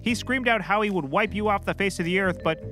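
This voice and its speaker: A man as a bright, high-pitched bumbling detective. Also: quick pace, soft background music. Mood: breezy, clueless. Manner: rambling, cheerfully clueless, cracks corny jokes. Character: bumbling, chirpy, oblivious male puppet-world private eye. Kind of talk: scripted comedy acting.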